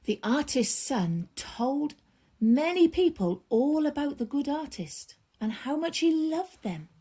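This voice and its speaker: A woman storyteller reading a children's bedtime story aloud, expressively, with drawn-out emphasis and hushed drops. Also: high-pitched, very animated.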